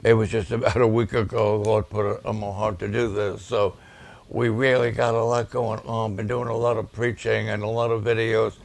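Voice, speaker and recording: A man, a pastor, preaching and promoting his ministry's videos, with a voice that gets raspy at times, speaking with emphatic repetitions. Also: lowish voice, flat delivery. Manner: slow, deliberate, with emphatic repetitions.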